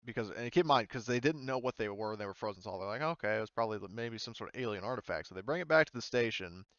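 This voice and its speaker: A man's voice: on the low side, expressive, racing, fairly bright tone, no echo, lo-fi sound. A man running a tabletop game as game master, narrating off the cuff in an informal, explanatory way and swearing casually.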